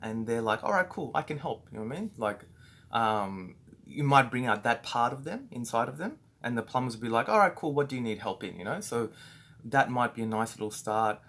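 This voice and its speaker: A man's voice: medium pitch, expressive, brisk, fairly bright tone. An Australian man giving a casual, rambling training talk to his staff, full of fillers and restarts. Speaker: business owner training staff.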